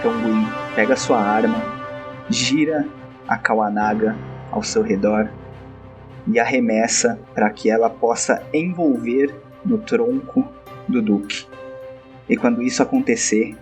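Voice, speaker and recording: A man narrates his own character's deeds in a tabletop game. His voice sits at a middle pitch and his telling is dramatic and story-like. Music is clearly present behind him. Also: a little slow. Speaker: role-play game player.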